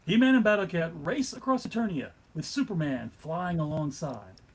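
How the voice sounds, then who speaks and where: A man narrates a comic book aloud with dramatic flair. His voice is high for a man and husky, and it rises and falls in a very animated way. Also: moderate pace. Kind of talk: dramatic scripted comic book narration at a live table read.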